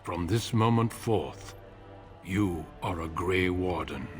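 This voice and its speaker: A deep, grave commander. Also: male voice, soft background music. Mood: solemn. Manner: solemn, measured. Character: grave middle-aged male warrior commander, solemn. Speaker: game character.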